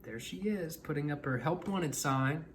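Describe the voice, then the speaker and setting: A male storyteller reads a picture book for children with very lively, wide-ranging intonation. His delivery is expressive, with clear noise in the background. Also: fairly high pitch, measured pace. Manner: animated, expressive.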